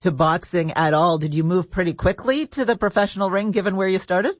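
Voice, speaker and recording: A man's voice: high-pitched, steady tone, fairly quick, dry recording, lo-fi sound. A man hosting a radio interview, asking friendly leading questions. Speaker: radio host.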